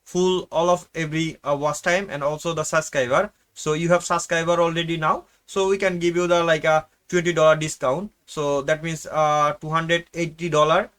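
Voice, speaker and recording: A man's voice: fairly high pitch, flat delivery, moderate pace, hardly any pauses, fairly bright tone. Agreeable salesman. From Bangladesh. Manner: agreeable, broken English grammar, says "okay, sure" a lot. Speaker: agency representative. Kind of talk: sales call.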